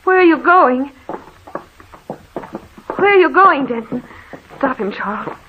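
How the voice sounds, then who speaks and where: High-voiced frightened wife, vintage radio horror. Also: female voice, lively intonation, takes it slowly. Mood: alarmed pleading. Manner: fretful, pleading, dramatic. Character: frightened, anxious wife stranded in a dark old house. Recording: tinny, hissy old-time radio recording.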